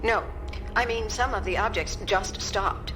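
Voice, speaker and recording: An actress playing a precise, focused scientist giving technical reports deliberately in a radio drama. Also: female voice, mid-range voice, a bit bright, faint music behind, natural voice. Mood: tense, precise.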